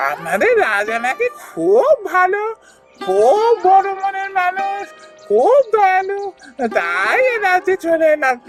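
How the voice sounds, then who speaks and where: An actor as a high, animated, humble villager. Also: heavily acted. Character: timid, humble villager fleeing a cruel king. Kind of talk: Bengali fairy-tale cartoon dubbing. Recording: music under the voice.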